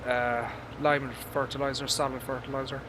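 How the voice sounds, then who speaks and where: Halting Irishman over vehicle noise. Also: medium pitch, little pitch movement. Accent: mild Irish. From Ireland. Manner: halting, rambling, casually sweary. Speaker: game streamer. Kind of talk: casual live gaming commentary.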